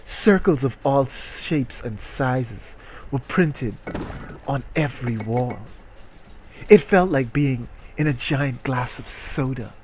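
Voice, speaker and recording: A man's voice: mid-range voice, expressive, takes it slowly, a little mellow, a little hiss or hum, low-quality recording. A man reading a story aloud as a streamer and breaking off for irreverent, sweary commentary. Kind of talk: dramatic reading with mocking live commentary.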